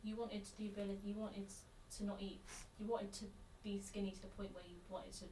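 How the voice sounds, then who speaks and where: A woman's voice: medium pitch, little pitch movement, fairly quick, warm tone, roomy sound, a little hiss or hum. Distant woman asking probing questions. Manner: probing, incredulous follow-up questions. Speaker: interviewer.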